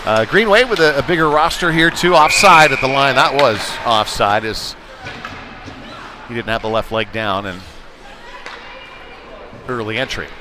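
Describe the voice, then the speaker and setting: A man's voice: mid-range voice, measured pace, pauses often. A man doing live play-by-play commentary of an ice hockey game, following the play in conversational, clipped running phrases. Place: indoor ice hockey rink. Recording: noisy live rink stream, loud background noise. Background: loud rink noise.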